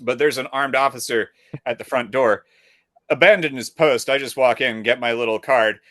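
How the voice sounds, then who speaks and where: A man's voice: mid-range voice, normal speed, no room sound. A young adult man co-hosting a podcast, telling a frustrated story in casual chat, acting out the people he quotes and swearing freely. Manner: animated storyteller who acts out the people he quotes; frequent swearing, wry and exasperated. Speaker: podcast co-host.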